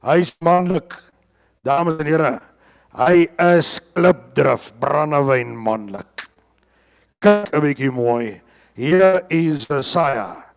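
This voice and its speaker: South African auctioneer, clear higher-pitched male voice. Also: lively intonation, slow pace. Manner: repetitive chanting patter, bilingual asides. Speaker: livestock auctioneer. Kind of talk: livestock auction calling.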